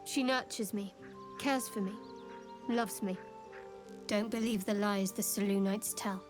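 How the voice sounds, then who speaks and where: A woman playing a guarded, devout young cleric of a dark goddess in a video game, speaking in a measured, earnest, dryly wry way. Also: mid-range voice, steady tone, over music, close to an everyday voice. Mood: devout, defensive. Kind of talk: video game character dialogue.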